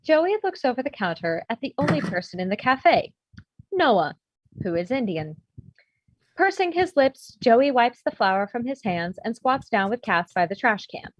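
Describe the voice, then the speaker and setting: A woman's voice: on the high side, sing-song, moderate pace, no room sound. A woman reading out a script's stage directions as scripted narration, on dull, lo-fi audio. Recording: dull, lo-fi video call sound.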